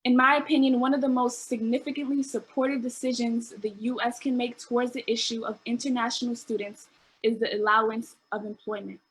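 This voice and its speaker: A woman with a fairly high, husky voice hosts an event. She reads prepared remarks aloud in an earnest way, sometimes tripping over unfamiliar words. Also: fairly even intonation, brisk, low-quality recording. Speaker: event host reading out testimonials.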